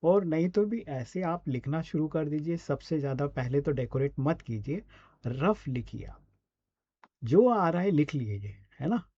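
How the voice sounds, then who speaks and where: Male Indian presenter-teacher, mellow and a touch husky, conversational and instructive, on a dull lo-fi recording. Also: a little high, lively intonation, measured pace, dry recording. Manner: instructive, often adds short check-in tags. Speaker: radio presenter giving a speaking and writing lesson.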